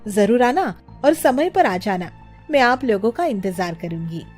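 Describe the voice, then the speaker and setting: A woman's voice: mid-range voice, expressive, a little slow, a little mellow, soft background music. A woman voicing a middle-aged hotel owner in a children's cartoon story, polite and formal as she receives guests.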